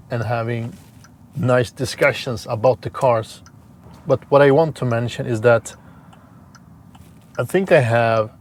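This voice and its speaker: Swedish man, non-native English, mid-pitched, casual car reviewer, audible road noise. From Sweden. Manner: plain, explanatory, slightly halting with repeated words. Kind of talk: casual vlog narration while driving.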